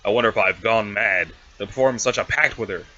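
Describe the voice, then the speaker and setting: A man acts out a pompous alien aristocrat in a comic drama reading. The delivery is stilted and haughty, with florid formal wording and a bright, forceful edge. The recording is slightly dull and homemade. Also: lowish voice, a little fast. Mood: perplexed, disdainful. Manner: stilted, haughty, wordy diction.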